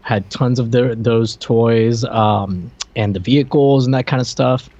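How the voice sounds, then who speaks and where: Through slightly dull, lo-fi audio, a man of forty chats casually as a podcast co-host. His voice sits at an ordinary middle pitch. He talks hesitantly, with dry, teasing asides. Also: steady tone, measured pace, a little mellow, no echo. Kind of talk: casual chat.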